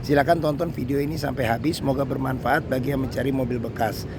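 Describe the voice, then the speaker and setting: A hoarse man hosting a used-car walkaround review, talking slowly with many fillers as he moves around and inside a car at a showroom. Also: medium pitch, steady tone, background noise. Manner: slow, halting delivery with many fillers. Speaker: used-car dealer host.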